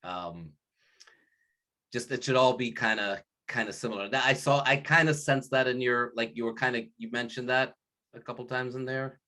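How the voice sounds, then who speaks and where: A man's voice: medium pitch, normal speed, pushed and bright. A man on a video call, chatting casually about work in a rambling way, often breaking off and restarting. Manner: rambling, self-interrupting, laughs often. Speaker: meeting participant. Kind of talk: casual work meeting chat. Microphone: laptop speaker mic on video call.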